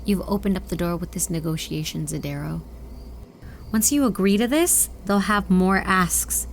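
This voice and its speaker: An actress playing a wary, defiant captured operative in an audio drama, blunt and skeptical. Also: female voice, on the low side, moderate pace, slight background noise, lightly acted. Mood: warning.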